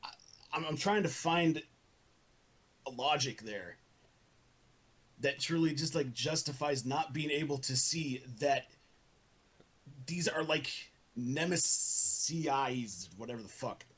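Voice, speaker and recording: Male, husky voice, earnest and profane, for a heated informal argument. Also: a little high, slow, long pauses, a bit bright.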